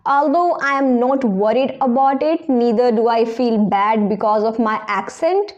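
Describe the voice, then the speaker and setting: A woman's voice: fairly high pitch, measured pace, a little mellow, dull audio. A woman with a strong Indian accent, giving personal advice to viewers as an English-learning presenter.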